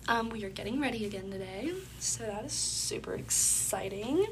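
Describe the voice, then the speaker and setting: A woman's voice: mid-range voice, normal speed, background noise. Congested young woman chatting on a podcast. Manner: rambling, filler-heavy, chatty. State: congested, phlegmy throat. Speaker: podcast host. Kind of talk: casual solo podcast chat.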